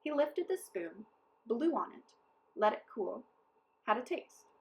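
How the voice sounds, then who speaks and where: A woman reading a children's story aloud as storyteller, in an expressive, deliberate way. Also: on the high side, lo-fi sound.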